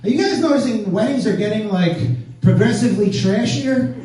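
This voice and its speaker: A man's voice: high-pitched, fairly quick, recorded from a distance. A man from Staten Island doing a profane stand-up set on a club stage, riffing and quizzing the crowd. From Staten Island, New York. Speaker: stand-up comedian. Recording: echoey club, picked up from afar. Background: laughing audience.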